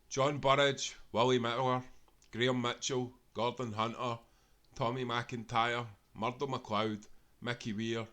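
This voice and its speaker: A man's voice: medium pitch, bright, forceful tone. A Scottish man reading aloud a football history tale, earnestly and with small self-corrections along the way. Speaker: narrator.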